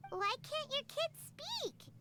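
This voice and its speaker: A tiny floating fairy girl from a video game, very high and squeaky. The delivery is childlike, boastful and excitable, swinging widely in pitch, with music playing behind. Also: slow pace, heavily acted. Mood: curious, puzzled. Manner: refers to self in third person, exclaiming. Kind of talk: video game character acting.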